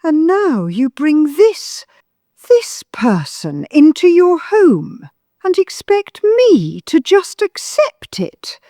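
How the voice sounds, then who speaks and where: A woman's voice: high voice, wildly swooping pitch, takes it slowly, mellow, dry recording, studio quality, exaggerated voice. An actress playing a bitter, manipulative older mother-in-law in an audio drama, theatrical and guilt-tripping. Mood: indignant.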